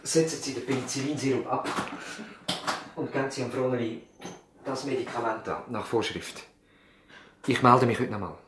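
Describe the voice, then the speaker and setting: A man's voice: mid-range voice, moderate pace, long pauses, noisy background, lightly acted. A man playing an earnest, worried country doctor in a radio drama, formal and serious, with a Swiss accent. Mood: grave, firm. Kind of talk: German-language radio drama acting. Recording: slightly veiled 1970s radio drama sound.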